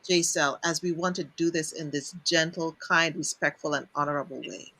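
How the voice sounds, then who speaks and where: Bright-voiced Trinidadian woman host. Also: deep voice, fairly even intonation, normal speed, poor audio. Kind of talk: casual interview talk.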